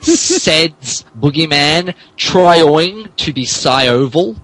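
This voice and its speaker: A man's voice: on the high side, expressive, drawn-out words, a bit bright, low-quality recording. A man reading out the narration of a fan fiction story in a mock-dramatic style. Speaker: podcast co-host reading the narration.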